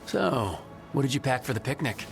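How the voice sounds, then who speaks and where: Wry, mid-pitched adventuring hero. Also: male voice, very animated, normal speed, gravelly, faint music behind. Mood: wry, relaxed. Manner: casual, wry, reassuring. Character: confident, reassuring young male adventurer hero, wry. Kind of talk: video game voice acting.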